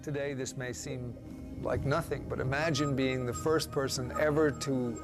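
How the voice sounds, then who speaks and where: A man's voice: mid-range voice, steady tone, a little slow, music in the background. A man speaking vividly and emphatically in a documentary interview.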